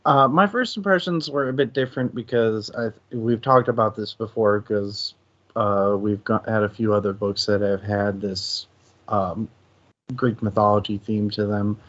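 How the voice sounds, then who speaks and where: A man's voice: fairly low pitch, fairly even intonation, normal speed, fairly bright tone. A man talking casually as co-host of a book discussion show. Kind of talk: casual chat. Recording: dull, muffled lo-fi audio.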